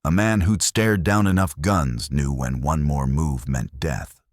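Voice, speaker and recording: A man reading a scripted dramatic Western story in a measured, solemn manner. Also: very deep voice, dry recording, clean studio sound. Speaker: narrator.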